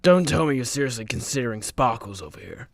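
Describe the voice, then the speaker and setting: A woman's voice: extremely low pitch, lively intonation, fast talker. A woman playing a blunt, sarcastic superhero who mocks others in a comedy radio play. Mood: mocking. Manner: sarcastic, mocking. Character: snarky, blunt female superhero judging tryouts.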